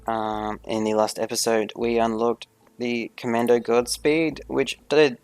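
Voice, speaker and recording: A man's voice: medium pitch, flat delivery, unhurried, darkish tone, clear, resonant tone. A man hosting a gaming video, giving gameplay commentary in careful, formal phrasing with few contractions.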